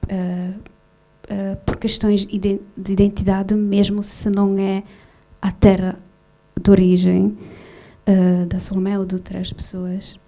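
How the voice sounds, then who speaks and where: A woman who grew up in Switzerland talks about her film at a festival session. She speaks slowly and haltingly, with many fillers and restarts. Her voice is mellow and fairly flat. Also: medium pitch. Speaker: film director. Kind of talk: festival Q&A talk.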